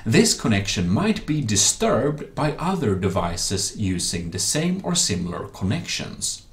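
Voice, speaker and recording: A man's voice: on the low side, very animated, measured pace. A man narrating a tech tutorial as its host, in slightly awkward non-native English phrasing with some off-the-cuff asides.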